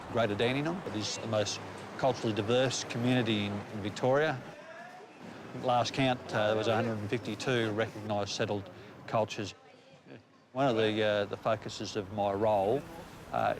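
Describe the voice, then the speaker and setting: Australian police commander, street noise behind. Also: male voice, fairly low pitch. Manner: measured, frequent "uh" pauses. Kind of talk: documentary interview. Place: outdoors by a road.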